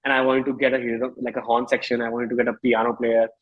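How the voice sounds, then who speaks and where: Reflective Indian-accented man, slightly husky. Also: medium pitch, level tone, phone-quality sound. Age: 30s. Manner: reflective, halting, rephrasing often. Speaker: musician interview guest. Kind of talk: candid conversational interview.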